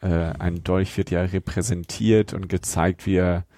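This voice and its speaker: A man's voice: low-pitched, steady tone, a little slow, dark tone, no room sound, clean studio sound. A man co-hosting a podcast, chatting casually and in a joking manner. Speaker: podcast co-host. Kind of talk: casual chat.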